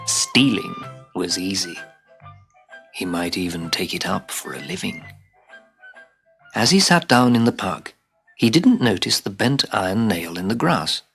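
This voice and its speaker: Expressive low-voiced man telling children's stories. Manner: dramatic, expressive, dropping to hushed asides. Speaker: storyteller. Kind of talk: scripted children's story narration. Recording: hissy old cassette tape.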